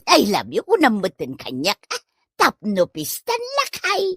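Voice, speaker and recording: Bright-voiced, nagging old Ilocano wife, played by a woman. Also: mid-range voice, wildly swooping pitch, unhurried, husky, dry recording, clean studio sound, full character voice. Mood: tender, coaxing. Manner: exclamatory, melodramatic, nagging. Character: elderly Ilocano wife, scolding, fretful, sentimental, comic. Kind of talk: comedy radio drama acting.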